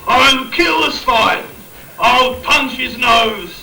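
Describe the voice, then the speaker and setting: A man's voice: very high-pitched, takes it slowly, over a noisy crowd, heavily acted. A man playing an indignant, blustering father in an amateur rhyming pantomime, declaiming his lines on stage. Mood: furious. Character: indignant, blustering father forbidding his young daughter's marriage. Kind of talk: amateur Christmas pantomime acting in rhyme. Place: live staff pantomime in a hall. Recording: distant, echoey, noisy amateur stage recording with audience.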